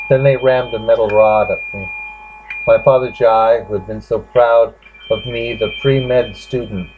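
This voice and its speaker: A man voicing a traumatized, vengeful young Indian woman in a halting, grave dramatic story reading. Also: mid-range voice, natural voice. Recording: dull lo-fi recording with clear background noise.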